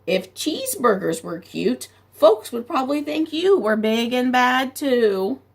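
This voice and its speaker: A woman voicing a smooth-talking male wolf who pleads his innocence in a storybook, with a gravelly, husky voice and a chatty, persuasive manner. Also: high-pitched, very animated, unhurried, heavily acted.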